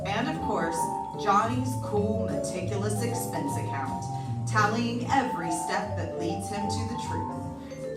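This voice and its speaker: Woman host, theatrical scripted intro, measured phrasing, roomy distant mic, prominent music. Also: medium pitch. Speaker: host and announcer of a live radio show.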